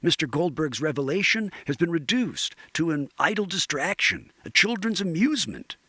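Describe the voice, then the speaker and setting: Old hippie conspiracy host in audio drama; pompous, oratorical, highly animated pitch. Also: male voice, moderate pace, breathy edge, exaggerated voice. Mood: pompous, earnest. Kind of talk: audio drama monologue as online broadcast.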